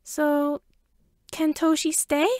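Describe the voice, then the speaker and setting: An excitable five-year-old boy from a story , acted out by a woman reader. The voice is pitched well up and pushes with eager, insistent pleading. It sounds dry and close. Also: slow, dry recording. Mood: hopeful. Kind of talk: acted audiobook dialogue.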